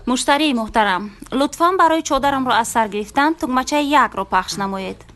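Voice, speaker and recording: A woman's voice: high-pitched, fairly bright tone. A woman with a Tajik accent playing a bride who talks like an automated phone operator, formal and polite, in a comedy sketch. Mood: formal, unruffled.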